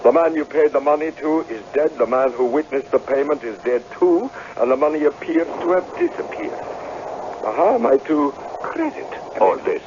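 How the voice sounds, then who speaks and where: An actor playing a stern, coldly mocking laird in an old-time radio drama, measured and sardonically polite. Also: male voice, fairly high pitch, animated, lightly acted. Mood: sardonic. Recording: dull, faintly noisy vintage radio recording.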